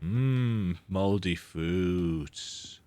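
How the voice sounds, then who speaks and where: A man's voice: low-pitched, very slow, mellow. A man with a bit of a cold giving chatty, self-deprecating commentary while playing a horror game at home. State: slightly sick with a cold. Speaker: gaming video host. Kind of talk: casual let's-play commentary. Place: dark room at home with lights off.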